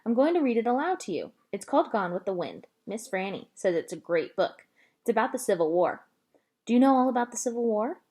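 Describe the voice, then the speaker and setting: A woman plays an earnest young girl from a storybook. She is eager and stubborn, frank and polite, with animated rises and falls in pitch. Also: brisk.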